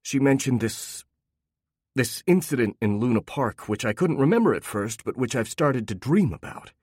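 An uneasy man, voiced by a male narrator who stumbles and restarts as he confesses. His voice sits in a middle pitch. The recording is close and dry. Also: lively intonation, moderate pace, darkish tone, husky, no echo.

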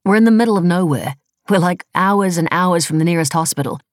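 A woman's voice: fairly low pitch, fairly quick, no room sound, studio quality, natural voice. A woman voicing a defensive, scornful, foul-mouthed woman in an audiobook, blunt and sarcastic with casual phrasing. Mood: indignant.

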